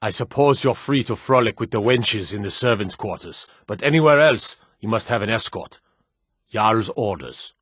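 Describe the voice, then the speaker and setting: An actor playing a stern, dutiful fantasy guard and soldier in a video game, formal and commanding, with measured delivery. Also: male voice, lowish voice, animated, a bit bright, husky, no echo, studio quality. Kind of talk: scripted fantasy game dialogue.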